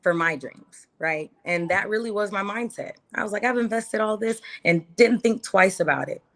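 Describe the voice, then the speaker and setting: A woman's voice: medium pitch, moderate pace, fairly bright tone. A woman of about thirty telling her personal story casually as an interview guest, emphatically, repeating phrases and asking rhetorical questions.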